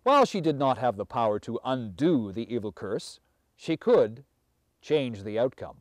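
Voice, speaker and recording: A man in his fifties reading a fairy tale aloud as a storyteller, with measured, theatrical and deliberate phrasing. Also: mid-range voice, very animated, no room sound, studio quality. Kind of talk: dramatic fairy tale narration.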